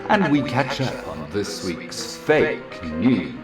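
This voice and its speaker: A man reading a scripted trailer for the show in a measured promo delivery. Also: fairly low pitch, big pitch swings. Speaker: announcer. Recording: roomy announcer voice over music. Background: music.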